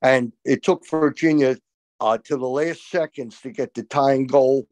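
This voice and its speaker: An older man co-hosting a postgame lacrosse show over a video call, talking with emphatic, opinionated excitement. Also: mid-range voice, a little slow, dry recording. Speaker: co-host, college lacrosse analyst. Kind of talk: excited postgame sports talk. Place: small room indoors. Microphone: video call webcam.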